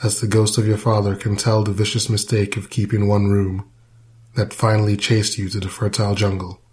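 A man's voice: fairly low pitch, darkish tone. A man reading a narrative poem aloud. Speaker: poetry reader. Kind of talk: poetry reading.

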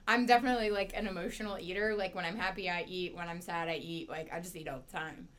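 A woman's voice: on the low side, steady tone, fast talker, a bit bright. A young woman of nineteen co-hosting a casual chat, talking freely with many "like" fillers and frequent laughs. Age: young adult. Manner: chatty, lots of "like" fillers, laughs often. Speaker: co-host.

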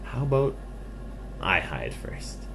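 Man voicing a small eager skunk, swooping pitch. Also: big pitch swings, slow pace, background noise, full character voice. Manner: eager, chatty, exclaiming. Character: small friendly lonely skunk, eager to play. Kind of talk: bedtime story character voice for children.

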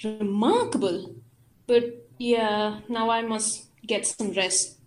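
An actress playing an absent-minded woman scientist who ponders aloud to herself in halting phrases, in an audio drama. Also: medium pitch, fairly even intonation, lightly acted, rough recording. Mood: musing.

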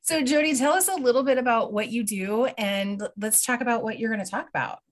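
A woman's voice: a little high, a little fast. A woman co-hosting a podcast, friendly and upbeat, chatting and introducing a guest. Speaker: podcast co-host. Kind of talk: casual chat, interview.

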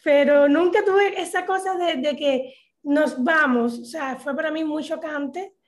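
Cuban woman, 30s, high-pitched, chatty and halting, interview guest on a video call. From Cuba. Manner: chatty, halting with many fillers and restarts. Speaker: interview guest, social media comedian. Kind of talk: casual interview talk.

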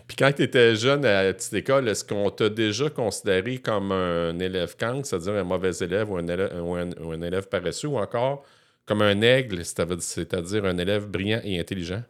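A man with a Quebec French accent hosts a relaxed interview, asking questions and backing up his guest with quick affirmations. His voice sits on the low side. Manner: encouraging, affirming with short interjections. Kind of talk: casual interview conversation.

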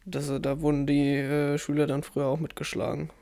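A man's voice: on the high side, completely flat delivery, a little fast, gravelly, warm tone. A man interviewing a guest in a casual, curious way, asking follow-up questions. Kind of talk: casual conversation.

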